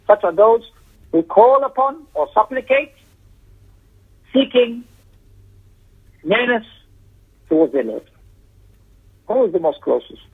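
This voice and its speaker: A man with a fairly high, gravelly voice delivers an Islamic lecture. He is emphatic and repeats key phrases as he explains a text. The sound is thin and tinny, as if coming down a phone line. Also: animated, slow pace, pauses often. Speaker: religious teacher giving a lesson.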